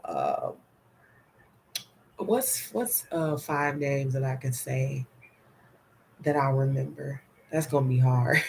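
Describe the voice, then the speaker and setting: Congested, nasal woman chatting slowly. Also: low-pitched, a little hiss or hum. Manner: chatty, rambling, frequent "okay?" check-ins. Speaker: laundry pickup and delivery business owner. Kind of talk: casual story-time vlog.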